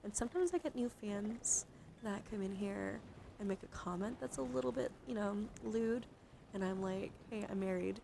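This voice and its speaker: A woman's voice: mid-range voice, vocal fry, noisy background. A woman streamer talking casually to her viewers in an informal, slangy, chatty way. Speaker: livestream host, ASMR streamer. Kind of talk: casual livestream chat to viewers.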